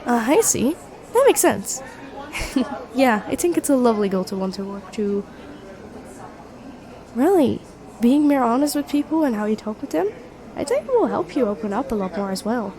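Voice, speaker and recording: Woman acting a high-voiced, sweet best friend in a cafe. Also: very animated, normal speed, long pauses. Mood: supportive, thoughtful. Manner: gentle, earnest, encouraging, conversational with soft hesitations. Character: sweet, supportive young woman, best friend with a secret crush. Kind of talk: intimate roleplay audio acting, one-sided dialogue. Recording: cafe chatter behind the voice. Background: coffee shop crowd ambience.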